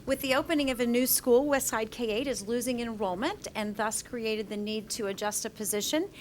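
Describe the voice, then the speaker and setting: A woman superintendent making a formal, brisk recommendation to a school board. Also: fairly high pitch, slight background noise. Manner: brisk, reads a formal list. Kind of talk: formal meeting report. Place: school board meeting room.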